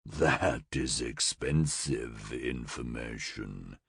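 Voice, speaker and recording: A man voicing a gurgling, fanged half-animal male monster, held prisoner and speaking in a taunting, sardonic, drawn-out way. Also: extremely low pitch, fairly bright tone, breathy edge, no echo, clean studio sound, voice pushed down, exaggerated voice.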